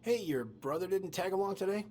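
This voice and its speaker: A man's voice: high-pitched, expressive, a little fast, a little mellow, slight background noise. An actor playing a concerned, fatherly middle-aged police officer in a naturalistic video game cutscene. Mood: reproachful, concerned.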